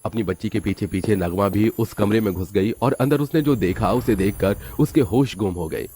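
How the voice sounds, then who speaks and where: A man's voice: on the low side, normal speed, warm tone, breathy edge, soft background music. A man narrating a scripted story in a dramatic storytelling style.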